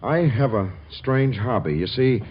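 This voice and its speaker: An actor playing a bashful, earnest young scientist with a hesitant stammering manner, in 1940s radio comedy style. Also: male voice, mid-range voice, animated, a little slow, close to an everyday voice. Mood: bashful, flustered. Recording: muffled, noisy 1940s radio recording.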